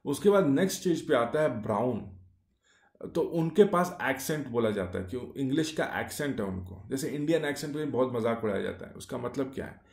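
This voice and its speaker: A man's voice: medium pitch, lively intonation, fairly quick, gravelly, darkish tone. A man giving a casual explainer monologue, speaking in short clauses with many fillers and rhetorical questions. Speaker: YouTube explainer. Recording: echoey room.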